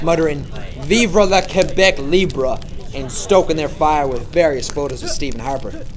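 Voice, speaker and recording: A man's voice: on the high side, close to an everyday voice. A man playing an earnest, over-polite Canadian policeman who narrates a comic radio play in a mock-serious way.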